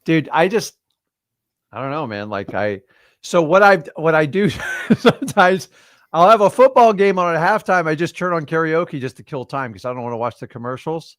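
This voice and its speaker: A middle-aged man chatting casually as a host, rambling and animated, with lots of fillers and restarts. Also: a little high, normal speed, no room sound, clean studio sound. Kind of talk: casual chat. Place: studio livestream.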